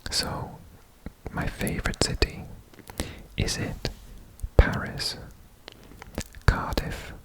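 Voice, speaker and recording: A person from Wales whispering in a breathy, deliberate way for ASMR, repeating words. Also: rough recording. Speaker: ASMR host presenting a personal guessing quiz.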